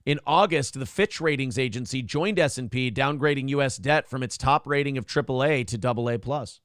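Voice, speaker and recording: A man's voice: medium pitch, a little fast, fairly bright tone, no room sound, clean studio sound. A man hosting a casual morning talk show, brash and profane, reading viewer donations aloud and riffing on politics.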